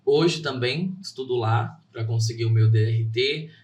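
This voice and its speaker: Earnest young actor, roomy off-mic sound. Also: male voice, medium pitch, unhurried, darkish tone, distant mic. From São Caetano, Brazil. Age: young adult. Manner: earnest, heartfelt storytelling. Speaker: theater actor and guest. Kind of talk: interview answers.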